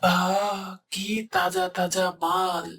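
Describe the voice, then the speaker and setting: Cartoon jackal, female performer: greedy, gloating, unhurried delivery, loud noise on the track. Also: fairly low pitch, little pitch movement. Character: gleeful greedy jackal eyeing a kid goat as food. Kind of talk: children's cartoon voice acting.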